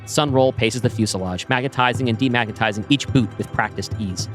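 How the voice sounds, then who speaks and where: A man reading a science fiction script aloud as narrator, in an even, descriptive manner. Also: medium pitch, a little fast, faint music behind. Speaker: storyteller.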